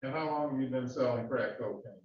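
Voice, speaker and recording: A man cross-examining a witness in a courtroom, questioning in a halting way with many fillers and restarts. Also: mid-range voice, a little hiss or hum. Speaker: defense attorney questioning a witness. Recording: echoey, distant, dull courtroom audio.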